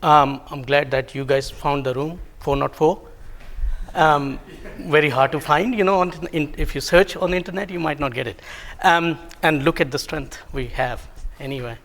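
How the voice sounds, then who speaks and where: A man whose first language is Hindi, giving a technical talk at a conference, halting with many ums and self-corrections and a bit of self-deprecating humor. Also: a little high, a bit bright. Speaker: conference presenter. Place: conference room.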